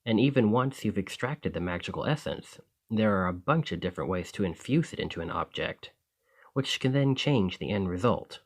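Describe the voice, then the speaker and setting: Man acting as a friendly male alchemist, lowish voice, earnest, bashful, gushing, conversational ASMR roleplay. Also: lively intonation, moderate pace. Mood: enthusiastic explaining. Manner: conversational, enthusiastic, hesitant when embarrassed.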